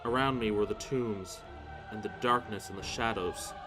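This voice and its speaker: A man's voice: on the low side, normal speed. A man narrating a horror story in the first person, solemn and theatrical. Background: music.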